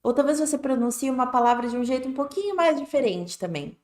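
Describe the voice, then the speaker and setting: A woman's voice: sits high, expressive, quick pace, darkish tone. A woman with a Brazilian Portuguese accent, teaching an English lesson on a live stream in a casual, direct way, often checking in with "né?" and "tá bom?". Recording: echoey room.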